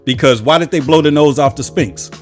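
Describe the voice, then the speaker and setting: A man's voice: fairly high pitch, brisk, faint music behind. An American man hosting his own political commentary channel, delivering an impassioned monologue full of rhetorical repetition. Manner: preachy, with rhetorical repetition and emphatic lists.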